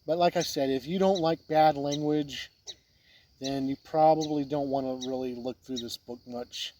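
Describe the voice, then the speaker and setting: A man giving a casual, unscripted review as a video host, plain-spoken with halting phrasing, with wind on the recording. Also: medium pitch, steady tone, darkish tone. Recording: wind noise on the mic.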